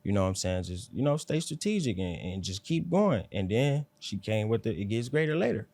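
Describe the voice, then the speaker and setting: A man's voice: on the low side, big pitch swings, brisk, a little mellow. A man with a South Central Los Angeles accent telling personal stories in casual, slangy interview talk. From South Central Los Angeles, USA. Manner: slangy, repeats "you know what I'm saying". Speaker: interview guest, rapper.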